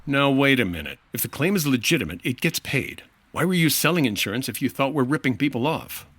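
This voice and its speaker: A man's voice: medium pitch, big pitch swings, a little fast, a bit bright. A man playing a brusque but decent insurance investigator in a 1950s radio detective drama, asking terse, probing questions. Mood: exasperated, commanding.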